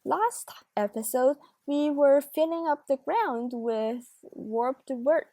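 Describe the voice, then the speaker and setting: A woman's voice: high voice, slow pace, dark tone, no echo. A young woman whose first language is Chinese, chatting casually as she builds in a game, thinking aloud and correcting herself. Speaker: Minecraft builder and narrator. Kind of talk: casual gameplay commentary.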